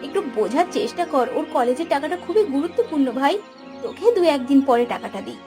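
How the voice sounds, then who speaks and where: A woman's voice: sits high, lively intonation, quick pace, over music. A woman voicing a burdened, caring elder sister in a village cartoon, emotional in a pleading and scolding way. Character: burdened, caring eldest sister running a poor village household. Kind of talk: melodramatic cartoon voice acting.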